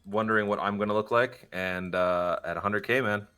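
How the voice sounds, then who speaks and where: A man's voice: on the low side, steady tone, moderate pace, sharp tone, smooth, faint music behind. A young man, a voice actor guest on a podcast, chatting casually in a playful, self-deprecating way.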